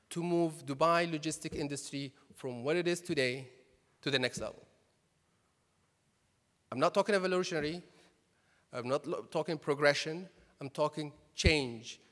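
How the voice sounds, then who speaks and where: A man's voice: a little high, a bit bright. A man giving a conference speech, emphatic and full of rhetorical questions. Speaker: conference speaker and chairman. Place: conference hall. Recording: reverberant hall, PA.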